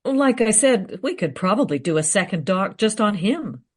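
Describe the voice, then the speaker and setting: An actress playing a confident, eager researcher in a radio play, recounting history in a teasing, matter-of-fact way. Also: female voice, mid-range voice, sing-song, normal speed, husky. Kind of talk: radio play dialogue, storytelling.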